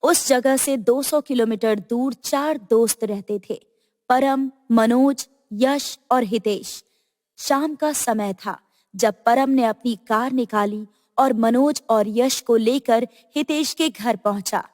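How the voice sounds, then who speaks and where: A woman's voice: on the high side, takes it slowly, darkish tone, no echo, studio quality, in a large hall. A woman narrating a scripted horror story as the storyteller.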